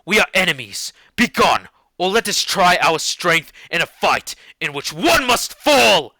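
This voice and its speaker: A man's voice: high-pitched, very animated, a little slow, bright, forceful tone, no room sound, pitched above the performer's own voice, exaggerated voice. A man playing a horrified, wrathful scientist who rejects his creation, declaiming vehemently in a dramatic literary style. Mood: defiant, furious.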